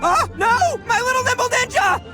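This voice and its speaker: A man's voice: extremely high pitch, lively intonation, moderate pace. A man playing a boisterous, good-hearted young braggart in an anime game, casual and full of bumbling bravado. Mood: dismayed. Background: faint music.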